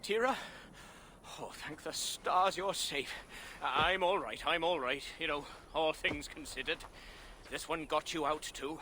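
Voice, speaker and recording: An actor playing a cheeky, wry dwarf miner in a video game, breezy and colloquial in manner. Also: male voice, on the high side, unhurried, sharp tone, slight background noise. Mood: relieved. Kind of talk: dramatic video game voice acting.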